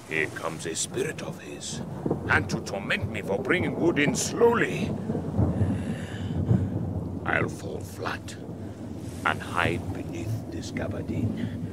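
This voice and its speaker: Man acting a slow, tormented monster slave amid storm noise. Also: gravelly, full character voice. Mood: fearful. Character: tormented, fearful male island monster slave, cursing bitterly. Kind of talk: Shakespearean audio play acting. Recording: very noisy, storm rumble behind.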